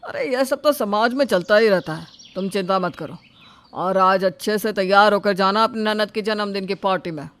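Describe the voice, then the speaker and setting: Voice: medium pitch, moderate pace, a bit bright, slight background noise, lightly acted. A middle-aged father in a storybook family drama, put on by a voice actor. He is reassuring and indulgent, placating and advising his child. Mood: cautioning. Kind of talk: dramatised Hindi story acting.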